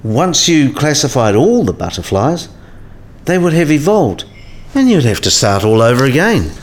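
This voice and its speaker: Man acting as scholarly professor, mid-pitched voice, patient, didactic, explanatory, radio play dialogue. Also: sing-song, normal speed, natural voice. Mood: explaining.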